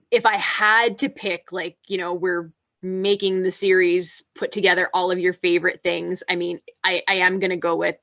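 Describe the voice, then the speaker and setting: A woman co-hosting a casual panel discussion, chatty and full of fillers and restarts, with a low voice she calls masculine. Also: vocal fry, a bit bright, no echo, lo-fi sound. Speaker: podcast co-host.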